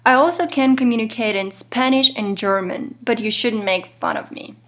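A woman in her thirties from Ukraine with a strong Eastern European accent, whose first language is Ukrainian. She gives an earnest, measured introduction to her course, addressing her students directly. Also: a little high. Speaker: instructor.

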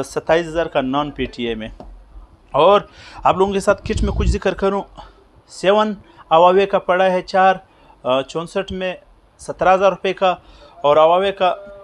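A man in his thirties with a Pakistani Urdu accent, filming in a small phone shop and briskly listing prices with many filler pauses, on a lapel mic. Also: high voice.

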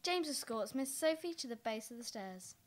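High-voiced young woman narrator. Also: lively intonation, normal speed. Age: young adult. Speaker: stage directions narrator. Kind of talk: scripted narration.

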